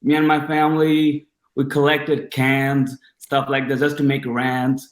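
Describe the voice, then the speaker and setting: A man's voice: medium pitch, monotone, a little slow. Roomy-sounding young man from Bangladesh, casual. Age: 20s. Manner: informal, slangy, enthusiastic. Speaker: podcast guest. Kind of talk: casual personal storytelling.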